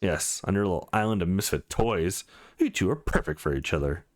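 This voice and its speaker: A man's voice: low-pitched, lively intonation, brisk, no echo, studio quality, pitched below the performer's own voice. A man voicing a cruel father who taunts with sneering contempt in a visual novel. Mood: cold, taunting.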